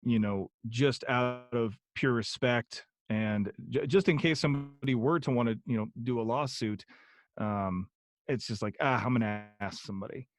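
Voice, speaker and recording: A man's voice: medium pitch, moderate pace. A young man chatting as a podcast guest, rambling with many ums and restarts. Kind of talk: casual interview chat.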